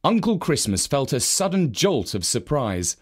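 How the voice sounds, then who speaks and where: A man narrating a children's story from a script in an expressive, measured manner. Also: mid-range voice, slightly rough.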